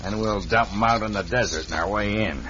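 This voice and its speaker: An impatient outlaw boss in an old Western radio play. His voice is forceful and bright. The recording is dull, with a faint hiss. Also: lowish voice, brisk. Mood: scheming. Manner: bossy, impatient. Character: gruff male outlaw ambushing a stagecoach. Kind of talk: 1950s radio drama acting.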